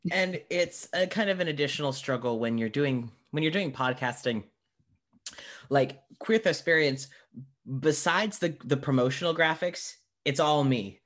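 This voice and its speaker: A man's voice: fairly high pitch, moderate pace, fairly bright tone. A man hosting a podcast, chatting casually with a wry, self-deprecating manner. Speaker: podcast host. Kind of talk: casual interview chat.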